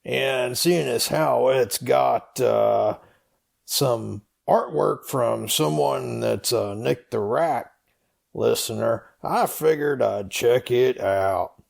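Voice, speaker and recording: A man voicing a rambling, slow-witted folksy storyteller in a comedy skit, hesitant and meandering as he goes. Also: mid-range voice, vocal fry, darkish tone, voice pushed up, heavily acted. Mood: sheepish, rambling. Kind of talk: comedy skit read as dialogue.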